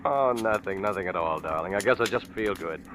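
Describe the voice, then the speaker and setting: A noisy vintage radio recording carries a low-voiced young husband. He is hesitant and evasive, feigning casualness in old radio-drama style. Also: animated, brisk. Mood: amused, evasive. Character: uneasy young husband hiding his suspicions. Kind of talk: 1940s-50s radio drama acting.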